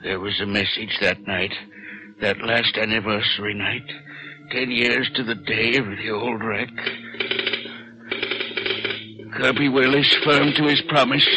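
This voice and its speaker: An actor playing a brooding, guilt-haunted older railroad signalman, halting and anguished, in 1940s radio drama style. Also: fairly low pitch, fairly even intonation, loud music behind the voice, lightly acted. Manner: halting, anguished, repeats himself. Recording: noisy old radio broadcast recording.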